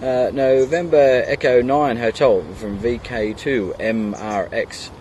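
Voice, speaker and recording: An Australian man chatting casually as an amateur radio operator, pausing often, recorded outdoors. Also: medium pitch. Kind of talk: casual radio chat with spelled callsigns. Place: outdoors in a kayak on a creek. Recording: outdoor recording with steady background noise.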